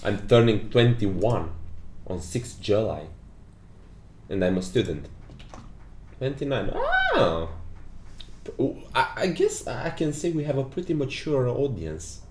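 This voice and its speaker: A man's voice: medium pitch, wildly swooping pitch, slow pace, pauses often, slight background noise. Giggly man with a non-native English lilt. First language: not English. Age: 20s. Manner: giggly, reads chat aloud, frequent laughs. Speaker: game developer hosting a stream. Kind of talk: casual livestream chat. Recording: roomy echo.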